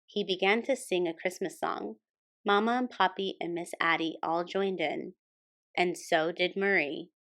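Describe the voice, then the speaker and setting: A woman hosting a storytime and reading a children's picture book aloud as expressive scripted narration. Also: lowish voice, unhurried. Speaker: storytime host and reader.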